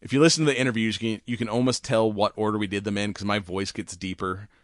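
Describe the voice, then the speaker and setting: A man co-hosting a podcast, chatting casually and rambling with laughs, his voice raspy and worn. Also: lowish voice, fairly even intonation, quick pace, no echo, clean studio sound. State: raspy, voice worn from cigars and whiskey. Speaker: podcast co-host. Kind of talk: casual chat.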